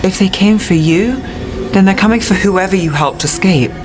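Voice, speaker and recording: A woman's voice: medium pitch, moderate pace, natural voice. A woman playing a cool, world-weary detective in a video game, blunt and guarded, with dry, terse, matter-of-fact delivery. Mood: firm, probing. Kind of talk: video game character acting. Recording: music behind.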